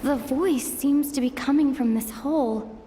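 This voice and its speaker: A woman's voice: high-pitched, a little slow, warm tone, slight background noise. An actress voicing a wary, curious young female adventurer in a video game, thinking aloud to herself. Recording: long reverb, music underneath. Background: game music.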